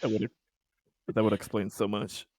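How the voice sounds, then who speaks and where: A man's voice: fairly low pitch, little pitch movement, normal speed, a little mellow, no echo, clean studio sound. A man playing a tabletop roleplaying game, chatting casually in character with wry humour.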